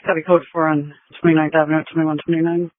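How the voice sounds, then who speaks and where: A man's voice: on the high side, level tone, fast. A man working as a police dispatcher, speaking in clipped, procedural phrases over police radio. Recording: phone-like narrow-band police scanner audio.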